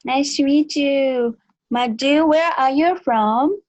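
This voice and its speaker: A young woman facilitating a student panel talk in English, with lots of soft murmurs of agreement. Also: sits high, takes it slowly, no room sound, dull audio. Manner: frequent soft backchannel murmurs and laughs.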